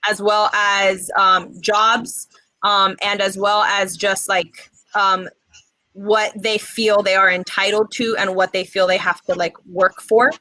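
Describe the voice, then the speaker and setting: A young woman in her twenties, from Mexico and raised in the States, talks earnestly as a guest and stops often on "um". Her voice is bright and sits close and dry, with no room sound around it. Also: mid-range voice, dry recording, poor audio. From Mexico, raised in the United States. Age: 20s. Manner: earnest, many "um" pauses. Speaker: guest poet. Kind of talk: casual interview chat.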